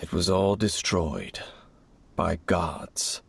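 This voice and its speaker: A man voicing a solemn, formal knight in a video game, speaking in a measured, grave way. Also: low-pitched, vocal fry, slight background noise, heavily acted. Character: solemn male knight, grave keeper of ancient secrets.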